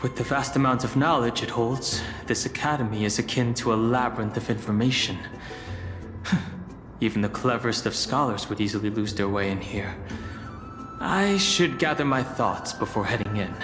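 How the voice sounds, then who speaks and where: A man's voice: mid-range voice, lightly acted. Man as a reserved young game hero, echoey. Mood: pensive. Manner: measured, contemplative. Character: calm, analytical young male traveler, reserved and thoughtful. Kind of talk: English-voiced fantasy game dialogue and monologue. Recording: echoey reverb, music underneath.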